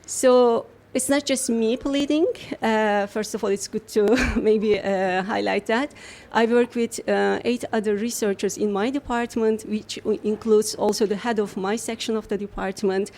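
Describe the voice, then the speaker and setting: A woman university researcher, a radio guest, explains her work in long, measured answers. Her voice sits at a middling pitch, and she pauses often on fillers as she builds each point. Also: fairly even intonation, darkish tone.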